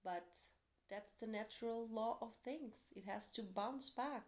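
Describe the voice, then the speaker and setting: A woman from Portugal, speaking English as a second language with a Portuguese accent, giving an earnest, encouraging tarot reading with soft, quiet asides. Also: fairly high pitch, a little slow. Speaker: tarot reader. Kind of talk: tarot reading for a zodiac sign.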